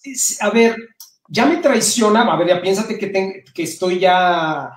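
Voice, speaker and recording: A man's voice: high-pitched, animated, unhurried, far from the mic. A middle-aged Mexican man chats as a guest, his accent lightly colored by Spain. He often restarts his phrases as he explains things. The sound is roomy and set back from the microphone, like a video call from home. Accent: Mexican Spanish with some Spain influence. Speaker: interview guest, novelist. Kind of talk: casual interview talk.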